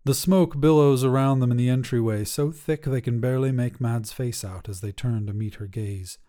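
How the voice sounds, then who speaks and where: A man's voice: medium pitch, normal speed, warm tone. A man narrating a scripted short story as a podcast reader, with a dramatic delivery.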